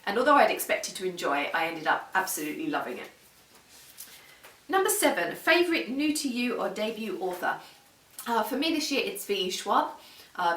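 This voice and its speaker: Roomy, distant mic; woman book vlogger, lowish voice, casual and enthusiastic. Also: a bit bright. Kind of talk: casual, chatty book talk to camera. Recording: roomy echo, distant microphone.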